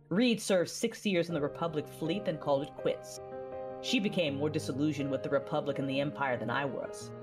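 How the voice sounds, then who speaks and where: Sci-fi audio drama lead: actress as reflective, war-weary Jedi woman, low register, measured confessional narration over music. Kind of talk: scripted first-person audio drama narration. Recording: music under the voice.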